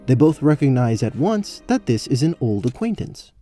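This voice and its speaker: A man's voice: mid-range voice, big pitch swings, moderate pace, mellow. A man narrating a tabletop fantasy game as the game master, in a storytelling style. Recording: faint music under the voice. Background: background music.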